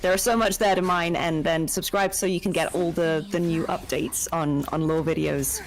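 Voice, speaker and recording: Upbeat woman with a lowish voice. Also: fairly even intonation, moderate pace, faint music behind, low-quality recording. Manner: friendly, upbeat, lots of agreeing fillers. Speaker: voice actor guest. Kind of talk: casual chat.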